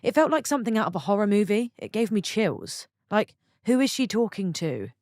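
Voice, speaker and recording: A woman reading online stories aloud for her channel, stopping for chatty, expressive asides to her viewers. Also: medium pitch, brisk, no room sound, clean studio sound. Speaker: story channel narrator.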